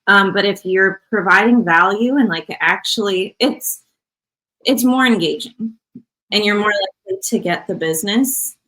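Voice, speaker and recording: Woman sales rep, a guest on a podcast, even-pitched and chatty, rambles with fillers. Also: low-quality recording. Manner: relaxed, rambling, many fillers like "um" and "like". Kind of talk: casual podcast conversation.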